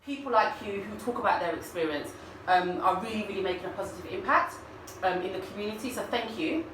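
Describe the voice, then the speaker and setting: A woman's voice: mid-range voice, fast talker. A woman interviewer asking questions in an upbeat, encouraging way. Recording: echoey room, far from mic, background noise.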